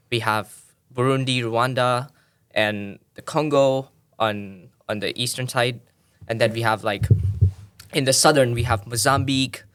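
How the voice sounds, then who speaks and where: A man's voice: medium pitch, fairly bright tone, clear, resonant tone. Tanzanian man explaining things thoughtfully. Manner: thoughtful, with frequent restarts and "you know". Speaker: podcast guest. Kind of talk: explanatory conversational interview.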